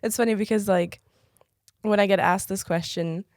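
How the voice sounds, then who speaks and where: A woman's voice: mid-range voice, normal speed, no room sound, clean studio sound. A young woman from the Czech Republic, a native French speaker, chatting casually as a podcast guest and telling stories with lots of "like.". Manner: chatty, heavy "like" filler, animated storytelling. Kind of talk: casual interview chat.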